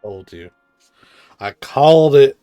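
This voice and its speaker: A man's voice: mid-range voice, lively intonation, takes it slowly, no room sound, dull audio. A man giving casual, chatty, wry commentary as he streams a game. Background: soft game music.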